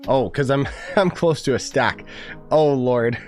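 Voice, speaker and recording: Male streamer, medium pitch, informal off-the-cuff gaming commentary, voice tired from coughing, music underneath. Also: a little slow. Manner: informal, rambling, gamer slang. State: losing voice, coughing. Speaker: game live streamer.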